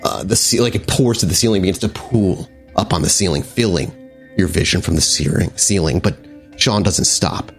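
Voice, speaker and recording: Deep-voiced male game master, music behind. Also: moderate pace, gravelly. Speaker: game master of a vampire tabletop game podcast. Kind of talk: improvised game narration.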